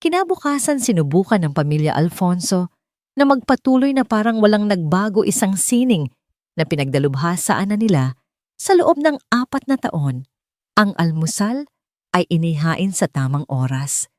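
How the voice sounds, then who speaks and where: Female audiobook narrator, Filipino accent, low register, highly animated intonation, solemn dramatic storytelling. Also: normal speed, no room sound, studio quality.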